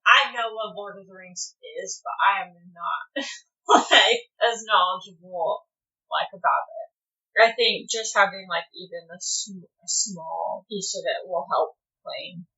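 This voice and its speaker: A woman's voice: mid-range voice, measured pace, bright, forceful tone, dull audio. A woman co-hosting a podcast in casual, unscripted chat, feeling out her thoughts tentatively and informally. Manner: tentative, informal, many "like" hedges. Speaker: podcast co-host and game player.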